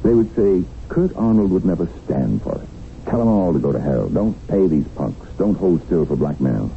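An actor playing a cynical, world-weary middle-aged tycoon held captive, wry and taunting, in a 1970s radio drama. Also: male voice, deep voice, big pitch swings, normal speed, creaky, full character voice. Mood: sardonic. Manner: wry, musing, sardonic. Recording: lo-fi radio recording, tinny, faint noise.

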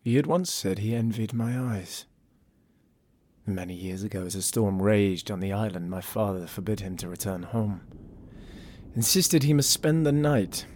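An actor playing a proud exiled lord who narrates a fantasy audio drama in a solemn, theatrical way with dramatic pauses. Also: male voice, fairly low pitch, a little mellow, slight background noise, lightly acted. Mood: tender, wistful. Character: proud exiled young lord narrating his empire's bitter history.

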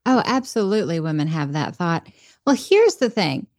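A woman's voice: fairly low pitch, big pitch swings, normal speed, no room sound, studio quality. A woman guest on a podcast interview, talking earnestly and reflectively about faith and leadership. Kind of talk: conversational interview.

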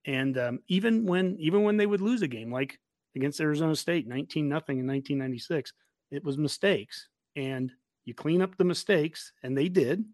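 A man with a somewhat high voice chats casually about sports on a fan show. He is emphatic and anecdotal, repeating phrases to drive a point home. The sound is dry, with no room around it. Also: brisk, a little mellow, no echo.

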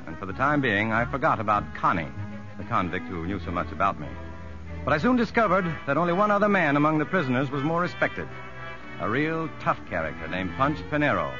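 An actor playing an earnest, educated prison psychologist, somewhat uneasy, in a 1950s radio drama. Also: male voice, medium pitch, very animated, brisk, music in the background, close to an everyday voice. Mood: narrating. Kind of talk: 1950s radio drama acting with first-person narration. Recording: muffled 1950s radio recording.

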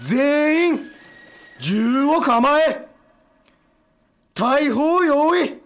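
A man's voice: extremely high pitch, animated, drawn-out words, fairly bright tone, slight background noise, heavily acted. A male actor playing a vengeful, commanding army officer in a historical audio drama. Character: Kuroda Kiyotaka, vengeful army commander ordering an attack.

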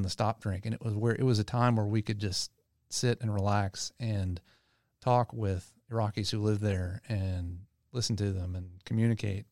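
A middle-aged man from Louisiana, a guest on a podcast, telling stories in a relaxed way with many fillers and restarts. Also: on the low side, no room sound, studio quality. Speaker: tea company co-founder guest. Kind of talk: podcast interview.

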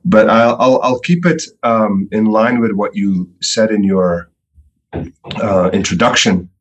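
A middle-aged man from Belgium, a guest telling his story in a podcast interview, relaxed and repeating words often. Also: lowish voice, a little slow, highs cut off. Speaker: entrepreneur guest.

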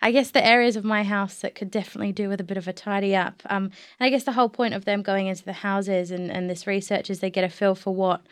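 Kiwi woman reporter on a podcast, really clean smooth voice, dry studio-type sound. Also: mid-range voice, steady tone, fast talker, few pauses, no echo. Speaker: journalist, interview guest. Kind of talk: conversational interview and reading aloud of an article.

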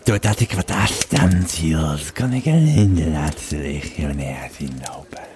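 A man playing a weary, troubled Roman legionary who tells his tale haltingly in an audio drama. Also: a bass voice, lively intonation, heavily acted. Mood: uneasy, brooding. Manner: halting, repeating words, hushed at times. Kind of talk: audio drama monologue and narration.

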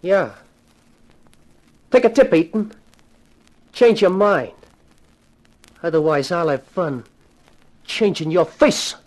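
High-voiced, hot-tempered gangster thug. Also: male voice, animated, slow pace, long pauses, darkish tone, rough recording. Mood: sneering threat. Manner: menacing, sneering tough talk. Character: young-faced hot-tempered gangster thug, eager for violence. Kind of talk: 1950s radio crime drama acting.

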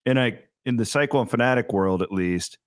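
A man, hosting a casual podcast chat and talking in quick bursts with restarts. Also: medium pitch, no room sound, studio quality. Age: 30s. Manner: energetic, talks in bursts with restarts. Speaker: podcast host.

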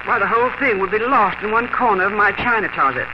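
An older woman playing a wry, settled small-town mother in a 1950s radio drama, measured and gently hesitant. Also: medium pitch, animated, lightly acted. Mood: doubtful. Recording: noisy, dull 1950s radio recording.

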